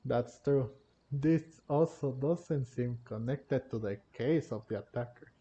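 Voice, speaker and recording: A man's voice: medium pitch, slow, mellow. A man giving casual gameplay commentary and reading dialogue choices aloud as he records a video. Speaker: gaming video creator. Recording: dull lo-fi mic, faint game music.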